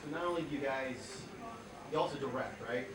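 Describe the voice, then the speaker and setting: A man in the audience asking a halting question at a convention panel. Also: mid-range voice. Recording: very echoey hall, far from mic, noisy.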